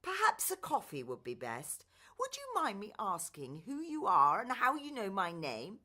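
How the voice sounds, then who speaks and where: A woman's voice: medium pitch, wildly swooping pitch, moderate pace, pushed and bright, full character voice. A woman voicing a kind, wistful young servant girl in a children's radio play, polite and earnest. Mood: polite, curious.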